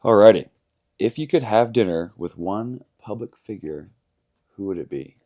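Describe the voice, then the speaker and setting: A man's voice: lowish voice, animated, normal speed, mellow. A man coaching at a gym, casually interviewing a member with friendly, teasing questions.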